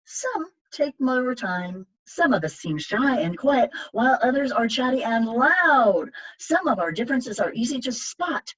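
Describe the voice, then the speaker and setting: A woman reading picture books aloud as a library storytime reader, with measured, emphatic storytelling. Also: a little high, very animated, a bit bright, husky, muffled recording. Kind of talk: expressive read-aloud of children's picture books.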